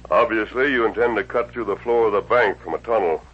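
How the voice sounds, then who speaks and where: An actor playing a steady Western hero posing as a surveyor in a 1950s radio drama. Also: male voice, lowish voice, fairly quick, a little hiss or hum, natural voice. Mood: indignant, probing. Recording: dull, faintly humming old radio recording.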